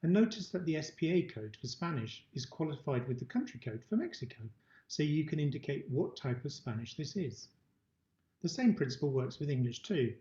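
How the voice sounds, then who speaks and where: A man's voice: a little high, animated, brisk, dark tone. A middle-aged man giving a prepared instructional presentation in an indoor room. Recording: dull, roomy webcam-style recording.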